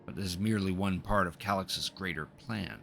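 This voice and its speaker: Male streamer, deep and forceful, casually narrating on-screen game dialogue, soft music underneath. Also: fairly quick, gravelly. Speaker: livestreamer playing a game. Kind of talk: reading game dialogue aloud with casual asides. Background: video game music.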